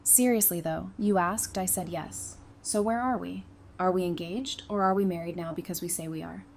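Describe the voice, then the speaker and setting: A woman acting an affectionate, gently teasing girlfriend in an audio drama, in a casual conversational manner. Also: lowish voice, moderate pace, vocal fry. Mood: playful, questioning. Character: affectionate, teasing girlfriend in a post-apocalyptic romance. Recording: noticeable background noise.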